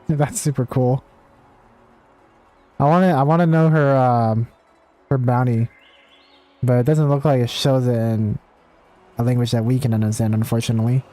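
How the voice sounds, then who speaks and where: A man's voice: medium pitch, normal speed, long pauses, dark tone. A man streaming a video game, chatting casually with viewers as he comments on what he plays. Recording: game music behind the voice. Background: video game music.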